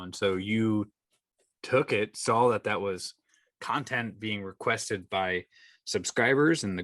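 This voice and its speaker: A man's voice: fairly low pitch, a bit bright, dry recording. A man hosting a podcast interview, asking long rambling questions full of restarts. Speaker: podcast host. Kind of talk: conversational interview.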